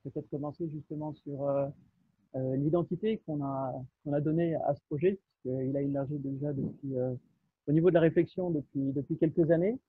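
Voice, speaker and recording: A man opens a webinar from his office over a video call, stammering over repeated words between fillers. His fairly high voice sounds husky through a thin, phone-like line, with no echo. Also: steady tone, fairly quick. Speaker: webinar co-presenter. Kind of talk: hesitant webinar presentation.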